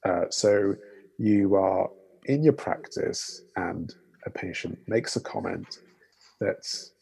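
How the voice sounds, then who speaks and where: A man with an English accent, a doctor speaking in a panel discussion in a measured way, with many fillers. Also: low voice, gravelly, darkish tone, husky, rough recording.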